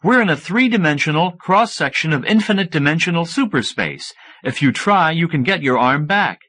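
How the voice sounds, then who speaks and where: A man voicing an excitable, smug mad scientist who lectures eagerly in an audiobook. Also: on the high side, moderate pace, pitched above the performer's own voice. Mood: matter-of-fact explaining. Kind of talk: audiobook character dialogue.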